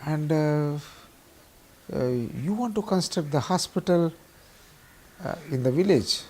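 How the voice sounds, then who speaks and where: A man with an Indian accent, speaking in a halting, deliberate way as he reflects in an interview. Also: on the high side, animated, a little mellow. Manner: halting, deliberate, pausing mid-sentence. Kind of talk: documentary interview, reflective recollection.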